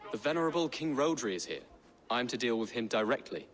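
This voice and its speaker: A man's voice: medium pitch, animated, fast, fairly bright tone, long reverb tail, a little hiss or hum, natural voice. An actor playing an earnest, anxious young nobleman in a historical video game, polite and a little hesitant. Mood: courteous, formal. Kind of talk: dramatic video game acting.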